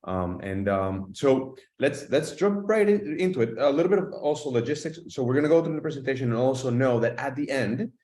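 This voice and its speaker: A man's voice: medium pitch, animated, fairly quick, hardly any pauses, darkish tone. A man hosting an online webinar, welcoming his guests and laying out the plan, speaking loosely with fillers. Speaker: webinar host. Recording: echoey room.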